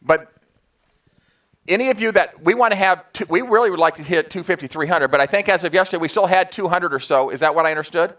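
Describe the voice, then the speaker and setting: A man with a slightly high voice runs a staff meeting in a room. He talks informally to the group and keeps it colloquial and joking. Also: quick pace, a bit bright. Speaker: sales meeting leader.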